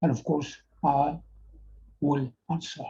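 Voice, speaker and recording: An older Iranian man speaks English with a Persian accent, pausing often and repeating words as he goes. His voice is mellow and unhurried, very slow overall. The sound comes through a slightly dull video call. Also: on the high side, steady tone, gravelly. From Iran. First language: Farsi. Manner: slow, halting and earnest, with frequent pauses and repeated words. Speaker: TV presenter. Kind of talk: personal address to viewers.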